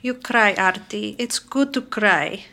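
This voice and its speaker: A woman's voice: mid-range voice, takes it slowly, creaky, bright, forceful tone, heavily acted. A woman playing a kindly, blunt old immigrant lady who speaks short broken English phrases in an audio drama. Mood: doting, consoling.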